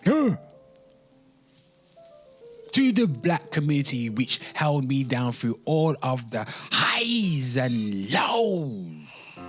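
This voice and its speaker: A man's voice: mid-range voice, wildly swooping pitch, a bit bright, soft background music. A man hosting a commentary show, mixing dramatic reading with informal, sweary ranting, drawing words out theatrically and addressing listeners directly. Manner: theatrical, drawn-out words and exclamations. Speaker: host of a pop culture commentary show.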